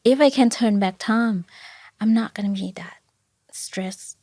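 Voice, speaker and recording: A woman's voice: a little high, unhurried, warm tone, no room sound, clean studio sound. A woman from Thailand with a Thai accent, speaking English as a second language, candidly chatting as an interview guest about raising her two kids. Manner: candid, restarts sentences while recalling. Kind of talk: casual interview chat.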